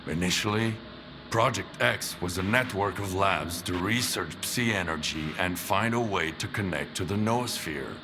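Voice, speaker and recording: An actor playing a weary, intense veteran stalker who talks in a low, measured, brooding way. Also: male voice, music in the background. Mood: bitter. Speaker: veteran stalker explaining the Zone.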